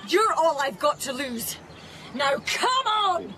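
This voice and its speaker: A woman's voice: high-pitched, sing-song, slow, background noise, heavily acted. A woman playing a grieving, frightened but defiant mother in an emotional, earnest radio drama performance. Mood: defiant, determined.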